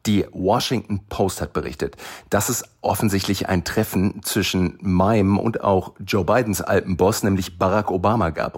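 A man's voice: on the low side, breathy edge. A man co-hosting a political podcast as a campaign strategist, talking energetically and with emphasis. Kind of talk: political analysis chat.

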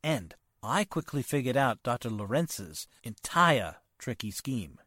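Man acting an earnest, worried gentleman, very expressive. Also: mid-range voice, slightly rough, dry recording, studio quality, full character voice. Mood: troubled. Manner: halting, earnest, formal. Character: polite, hesitant, worried nephew of a wealthy man. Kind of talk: 1940s radio drama acting.

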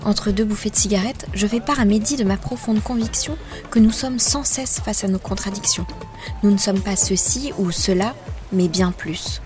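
Woman reading a novel over soft music. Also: medium pitch. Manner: measured, expressive first-person reading. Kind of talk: literary reading of a novel excerpt.

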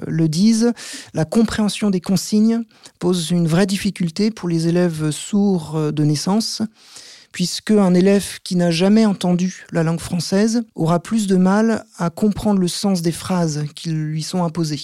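A man who teaches maths, answering interview questions in a measured, step-by-step way with frequent hesitant pauses. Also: sits high, mellow, no room sound, studio quality. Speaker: maths teacher, podcast guest.

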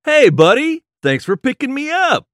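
An actor playing an excitable, boastful party man in his late fifties in an audio drama. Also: extremely high pitch, moderate pace, no echo, studio quality, exaggerated voice. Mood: cheerful.

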